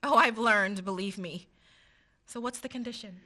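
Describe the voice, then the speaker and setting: A woman's voice: medium pitch, moderate pace, bright, forceful tone. An actress playing an impulsive young woman with secret spell-casting powers, in a dramatic radio-play style. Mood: soft. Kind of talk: stage radio play acting.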